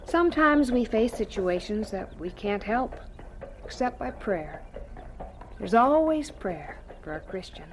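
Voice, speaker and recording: Radio drama mother played by a woman: gentle, dignified, sincere, fairly high voice with lively swings. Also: a little slow, a little hiss or hum. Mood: tender, wistful. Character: gentle, devout farm mother with quiet dignity.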